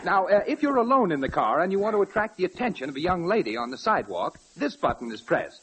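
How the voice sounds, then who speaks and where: High-voiced, deferential company underling. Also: male voice, big pitch swings, fast talker, fairly bright tone, husky, exaggerated voice. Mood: deferential, explaining. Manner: hesitant, deferential. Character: deferential, nervous male company vice president. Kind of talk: 1940s radio comedy sketch acting. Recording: slightly noisy 1940s radio recording.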